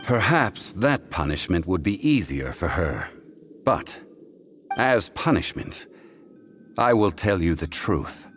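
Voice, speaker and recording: A man voicing a calm, dignified scholar in a video game, speaking in a measured, formal, old-fashioned way. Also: low voice, slight background noise, full character voice. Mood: grave. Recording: game audio with music behind.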